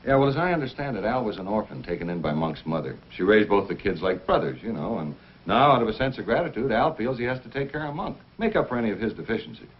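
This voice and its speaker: An actor playing a worried, talkative logging boss who explains emphatically in an old-time radio adventure. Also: male voice, on the low side, big pitch swings, quick pace. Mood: concerned. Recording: dull old radio, faint noise.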